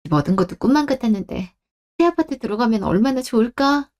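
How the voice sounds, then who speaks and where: A woman's voice: a little high, animated, fast talker, darkish tone, natural voice. A young woman voicing a wife in a radio drama, speaking politely and with restraint. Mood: cheerful. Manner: polite and restrained, turning pointed. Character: young wife, polite but standing her ground. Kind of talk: Korean radio drama acting.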